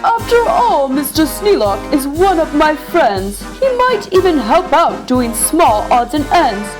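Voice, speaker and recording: A woman performs an eager young boy from a rhyming storybook, boasting like a circus showman. The voice is high and hugely animated in pitch, with slow, emphatic, singsong delivery over music. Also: low-quality recording. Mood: boastful, dreamy.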